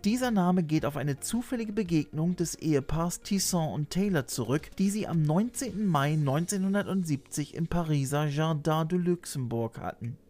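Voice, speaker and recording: A man's voice: on the high side, darkish tone. A middle-aged man hosting and narrating a scripted video essay, recorded indoors on a desk microphone. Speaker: host and narrator. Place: small room lined with shelves, indoors. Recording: music behind the voice.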